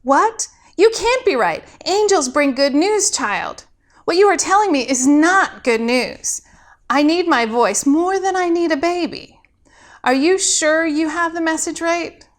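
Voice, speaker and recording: A woman's voice: extremely high pitch, lively intonation, measured pace, voice pushed up. A woman voicing a kindly, curious elderly male priest in a story, amused and questioning. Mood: disbelieving.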